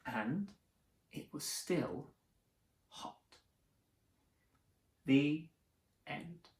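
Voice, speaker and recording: A man hosting a picture book reading, narrating theatrically for children with dramatic pauses. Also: on the high side, lively intonation, darkish tone. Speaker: host reading picture books aloud. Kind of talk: animated children's story narration. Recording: roomy, echoing sound.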